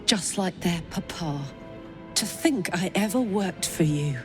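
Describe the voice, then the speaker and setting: A woman's voice: lowish voice, expressive, a little slow, music in the background. An actress playing a wounded, bitter warrior woman in a video game, speaking bluntly to one who betrayed her. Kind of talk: video game cutscene acting.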